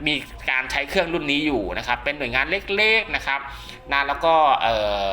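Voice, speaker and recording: A man's voice: on the high side, lively intonation, brisk, sharp tone, faint music behind. A man giving a casual spoken how-to demonstration, often checking that listeners follow along. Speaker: radio hobbyist presenter.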